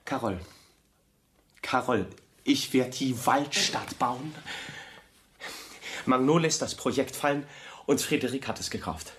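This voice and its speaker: Actor as an eager, earnest young architect. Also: male voice, mid-range voice, measured pace, pauses often, fairly bright tone, a little hiss or hum, lightly acted. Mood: excited, hopeful. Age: young adult. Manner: earnest, insistent, repeats himself. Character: eager, devoted young architect, idealistic and trusting. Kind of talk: German radio play acting.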